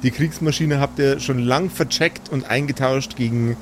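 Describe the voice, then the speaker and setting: Man, mid-pitched voice, sardonic game master, improvised narration, some background noise. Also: normal speed.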